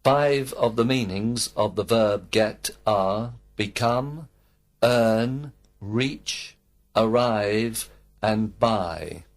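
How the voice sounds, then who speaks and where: Male student voice, low-pitched, slow scripted full-sentence answers. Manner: slow, complete textbook sentences.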